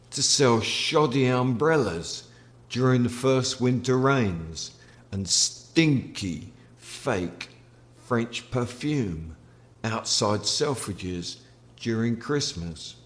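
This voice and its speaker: A man reading literary fiction aloud as its author, with theatrical, deliberate phrasing. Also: mid-range voice. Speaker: author reading from own novel. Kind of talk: literary audiobook-style narration.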